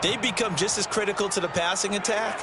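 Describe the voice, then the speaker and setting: A man's voice: high-pitched, fairly even intonation, fast, over a noisy crowd, heavy background noise, lightly acted. A man playing an opinionated football analyst in a video game, explaining in a conversational, emphatic way. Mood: analytical. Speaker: color commentator.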